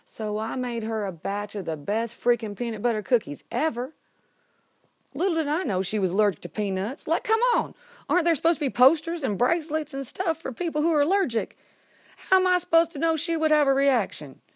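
A woman's voice: sits high, lively intonation, brisk, no echo, clean studio sound, pitched above the performer's own voice. A woman performing a comedic monologue as an exasperated, sarcastic student pleading innocence, with dramatic, emphatic delivery. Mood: scheming, confiding, then exasperated protest.

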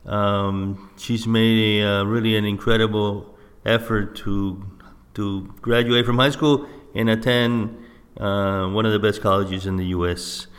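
A man from Cuba hosting an interview, talking haltingly with many fillers and restarts. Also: on the low side, steady tone, a bit bright. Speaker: host. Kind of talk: casual interview. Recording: roomy echo.

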